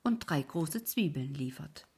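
A woman voicing an old male ferryman in a sleep story, loud and raised above her soft reading. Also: sits low, expressive, measured pace, slightly rough, full character voice. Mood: stern. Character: old male ferryman, patient but alarmed.

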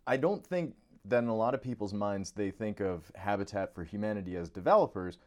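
An American man with a slightly low voice hosts a podcast interview. He talks in a conversational style, setting out his thoughts and putting questions to his guest. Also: expressive, brisk.

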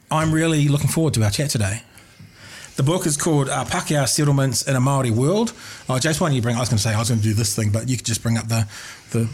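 A man with a New Zealand accent hosting an interview show in a chatty, rambling way, a little husky after an illness. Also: medium pitch. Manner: chatty, rambling, with many restarts. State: recovering from illness, slightly husky. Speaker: podcast host. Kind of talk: casual interview chat.

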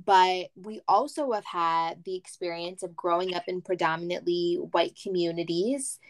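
A woman in her twenties co-hosting a podcast, talking in a relaxed, casual way with plenty of "like.". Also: lowish voice, moderate pace, rough recording. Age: 20s. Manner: relaxed, uses "like" often. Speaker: podcast co-host. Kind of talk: casual chat.

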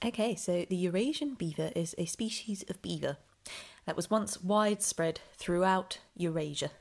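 A chatty young woman talks her way through a relaxed solo podcast, with plenty of ums and friendly asides. Her voice sits fairly low and comes across a little nasal and snuffly. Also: normal speed, gravelly. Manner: chatty, full of ums, rambling. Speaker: podcast host.

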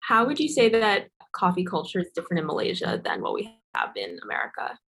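A woman's voice: fairly low pitch, wildly swooping pitch, fairly quick, fairly bright tone. A woman co-hosting a show and putting conversational questions to guests. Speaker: co-host, interviewer.

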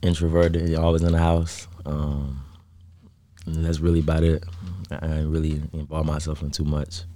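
A young American man with a dark, mellow voice that sits extremely low. His delivery stays flat and laid-back, with slangy phrasing, as he answers casually like an interview guest. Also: moderate pace. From Chicago south suburbs, US. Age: 20s. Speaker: interview guest, music artist.

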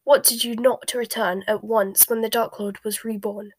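A woman reading the lines of a fierce, fanatical female witch, loud and snarling, with a breathy voice. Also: a little high, fairly even intonation, normal speed, no echo, close to an everyday voice. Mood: furious.

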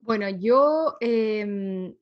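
A woman's voice: mid-range voice, animated, extremely slow, dull audio. A woman in her thirties from Chile with a Chilean accent, a guest researcher chatting in an interview, laughing often and using many fillers. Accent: Chilean Spanish. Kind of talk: casual interview talk.

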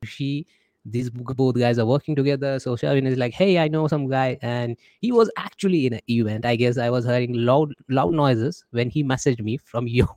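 A man's voice: medium pitch, moderate pace, darkish tone, dry recording. Man, indian accent, interview host, chatty, repeats himself, dry close sound. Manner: chatty, repeats words, acts out quoted messages. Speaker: podcast host. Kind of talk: casual interview chat.

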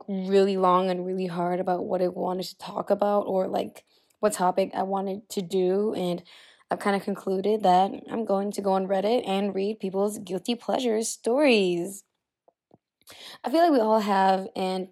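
Husky-voiced young woman, hoarse from illness. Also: medium pitch, dark tone. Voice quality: husky, raspy. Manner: chatty, laughs often, trails off and restarts. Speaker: podcast host. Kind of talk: casual solo podcast, reading posts aloud.

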